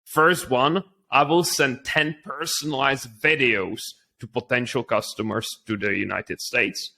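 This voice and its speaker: Bright-voiced Czech man telling his story. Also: medium pitch, a little slow, vocal fry, slight background noise. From Czech Republic. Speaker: interview guest, entrepreneur. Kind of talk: conversational personal storytelling in an interview.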